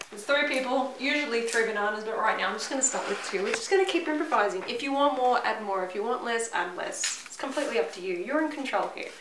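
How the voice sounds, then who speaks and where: A young Australian woman with a slightly high voice talks through cooking in an offhand, joking way. The room is echoey, with clear clatter and noise behind her. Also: fast. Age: 20s. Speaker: cook in a how-to video. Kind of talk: casual improvised cooking demonstration. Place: small home kitchen, indoors. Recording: noisy echoey kitchen, clattering dishes.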